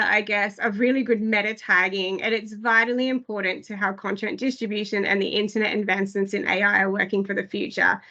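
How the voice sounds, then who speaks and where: A woman with a fairly high, slightly hoarse voice walks listeners through new platform features. She talks in loose, hesitant runs, leaning on "I guess" and "um". The sound is dull and lo-fi, as over a video call. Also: pushed and bright. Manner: filler-heavy, frequent "I guess" and "um". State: losing her voice. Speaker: product presenter. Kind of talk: online product briefing.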